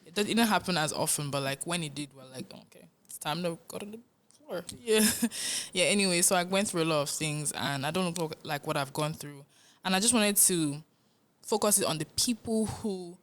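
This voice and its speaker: Low-voiced Nigerian woman, animated and earnest. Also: moderate pace. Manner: animated, laughs often, earnest. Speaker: musician guest. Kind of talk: casual interview talk.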